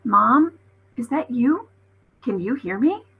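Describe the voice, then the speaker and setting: A woman's voice: fairly high pitch, expressive, takes it slowly, vocal fry, breathy edge, phone-quality sound, full character voice. A woman voicing a brave, determined young girl with urgent feeling in a children's storybook. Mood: hushed, hopeful.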